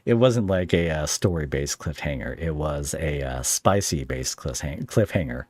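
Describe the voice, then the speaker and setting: A man hosting a podcast, chatting casually with a guest in halting, searching phrases that often turn into questions. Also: extremely low pitch, animated, darkish tone, no room sound. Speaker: podcast host interviewing a guest. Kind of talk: casual interview chat.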